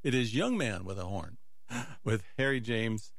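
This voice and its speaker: A man hosting a music radio show, casually announcing old records in an unhurried, musing way as if thinking aloud. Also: fairly low pitch, animated, no room sound, clean studio sound.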